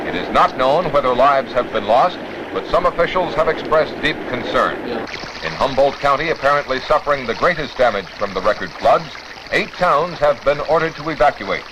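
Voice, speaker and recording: A man's voice: mid-range voice, expressive, normal speed. An American man reading scripted 1960s newsreel narration in a formal, dramatic announcer style. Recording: noisy old newsreel soundtrack.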